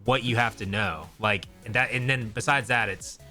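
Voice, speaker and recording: A man's voice: on the low side, moderate pace, pushed and bright, faint music behind. A young man co-hosting a podcast in casual chat, rambling with many fillers and restarts. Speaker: podcast co-host.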